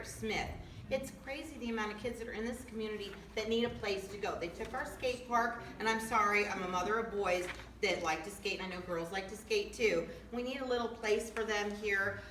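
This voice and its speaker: A woman's voice: medium pitch, a bit bright. Earnest mother at a public meeting, echoey. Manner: earnest, pleading, slow emphatic stresses. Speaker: local resident speaking at a meeting. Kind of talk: impassioned public comment. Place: community meeting room. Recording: echoey hall, voice from far off, faint noise.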